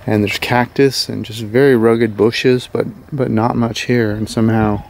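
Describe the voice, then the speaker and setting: A man's voice: mid-range voice, unhurried, creaky, a little hiss or hum. A middle-aged man giving a casual, rambling tour of an island as a travel vlogger. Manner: relaxed, rambling tour-guide talk. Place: outdoors on a green hillside.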